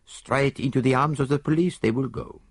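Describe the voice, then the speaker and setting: A man's voice: lowish voice, wildly swooping pitch, normal speed, gravelly, husky, no echo, pitched above the performer's own voice, full character voice. A man voicing a fussy, precise older male detective, mildly reproving and fond of rhetorical questions. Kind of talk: audiobook character voice.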